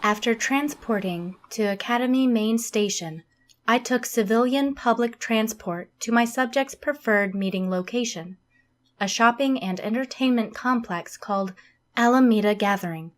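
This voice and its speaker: A woman playing a literal, humorless alien Starfleet officer in an audio drama, speaking formally and exactly with a deadpan manner. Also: a little high, normal speed, lightly acted. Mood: formal, detached. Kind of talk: scripted audio drama log and interview.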